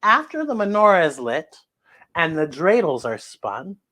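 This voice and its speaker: A woman's voice: lowish voice, very animated, unhurried, a bit bright. A woman hosting a children's story time, reading aloud in a gushing, exclamatory way and often repeating phrases for emphasis.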